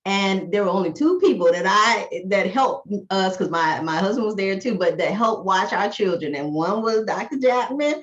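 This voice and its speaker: A woman's voice: medium pitch, animated, moderate pace, hardly any pauses, muffled recording. A middle-aged woman hosting an interview, chatty and enthusiastic, with rambling restarts. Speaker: podcast host and therapist. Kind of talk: interview hosting, casual chat.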